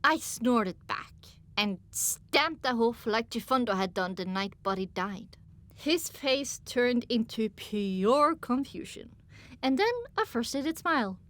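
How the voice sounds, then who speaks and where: A woman reads first-person fiction as an audiobook narrator. Her voice is fairly high and moves widely in pitch, giving the scripted prose a dramatic, expressive shape.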